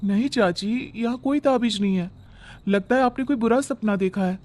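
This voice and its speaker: Man voicing a high-pitched, puzzled young woman. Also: normal speed, a little mellow, natural voice. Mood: dismissive. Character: puzzled, sleepy young pregnant woman, polite niece. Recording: background music under the voice.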